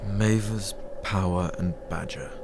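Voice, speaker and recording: A man's voice: sits low, steady tone, unhurried. A man playing a sombre railway signal box worker, terse and muttering, in a horror drama. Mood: brooding. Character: sombre male signal box colleague on radio watch. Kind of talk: horror audio drama acting. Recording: heavy ambient noise behind voice.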